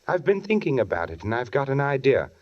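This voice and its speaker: An actor playing a sly, probing British newspaperman in a 1940s radio suspense drama, measured and insinuating in manner. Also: mid-range voice, big pitch swings, natural voice. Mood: casually probing. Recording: muffled 1940s radio recording.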